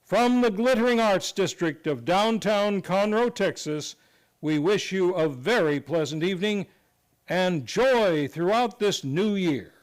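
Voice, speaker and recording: A man's voice: sits high, lively intonation, measured pace. A man announcing a scripted radio show sign-off in a formal, polished way. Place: live on a theater stage.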